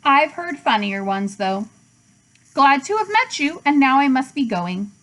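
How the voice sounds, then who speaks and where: A woman voicing a clever, polite, aging female spider with a measured, dry manner in a children's storybook. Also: sits high, big pitch swings, a bit bright, exaggerated voice, rough recording.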